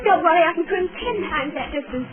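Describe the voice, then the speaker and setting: A woman's voice: extremely high pitch, lively intonation, fast talker. An actress playing a brave, eager female sidekick, urgent and plucky in 1930s radio style. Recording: tinny, band-limited 1930s radio.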